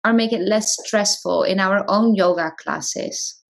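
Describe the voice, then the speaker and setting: A woman's voice: medium pitch, measured pace, gravelly. A woman hosting a casual interview, encouraging and asking guiding questions. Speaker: host.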